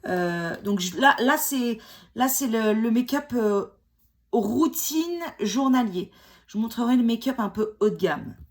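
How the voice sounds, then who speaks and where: Woman in her 40s, higher voice, stuffy with a cold, chatty makeup talk at home. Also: expressive, unhurried, darkish tone. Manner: chatty, self-mocking, many fillers and restarts. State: has a cold. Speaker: beauty vlogger. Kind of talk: casual makeup tutorial chat.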